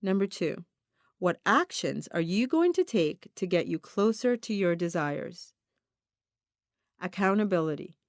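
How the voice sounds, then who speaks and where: A woman reading a self-help audiobook in a deliberate, emphatic, instructional manner. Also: lowish voice, very animated, no room sound, clean studio sound. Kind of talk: scripted audiobook narration of a self-help text.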